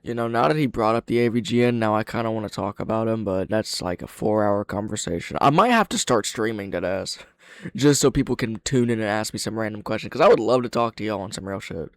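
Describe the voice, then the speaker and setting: A man's voice: lowish voice, fast, no echo, studio quality. A man hosting a casual reaction commentary, thinking aloud with plenty of slang and swearing. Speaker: reaction video host.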